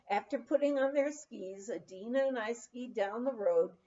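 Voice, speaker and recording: A woman's voice: on the high side, mellow, lo-fi sound. A woman reading a written memoir aloud as an audiobook narrator. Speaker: audiobook reader.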